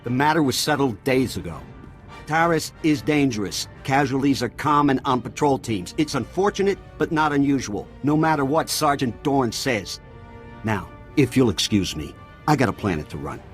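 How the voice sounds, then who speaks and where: Guy voicing a snide colonel, grumbly and sardonic, pretty average pitch, game music loud behind him. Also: expressive, moderate pace, close to an everyday voice. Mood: scornful. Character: sarcastic, dismissive middle-aged male army colonel. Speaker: regimental colonel.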